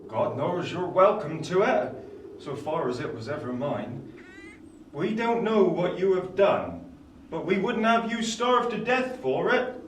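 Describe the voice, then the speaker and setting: An actor playing a kindly, simple village blacksmith in a radio play. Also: male voice, high-pitched, very animated, unhurried. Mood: compassionate. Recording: roomy, distant, faint noise.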